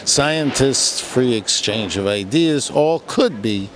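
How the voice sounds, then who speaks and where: A man's voice: mid-range voice, expressive, takes it slowly. An older man with an American accent, giving his views in a street interview. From United States. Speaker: interviewee, retired scientist. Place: outdoors on a London street. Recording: clear urban street noise.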